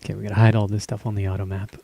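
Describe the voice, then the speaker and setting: A man streaming live while he builds a game map, talking casually in halting, stop-start phrases as his voice weakens. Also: on the low side, little pitch movement, creaky, warm tone.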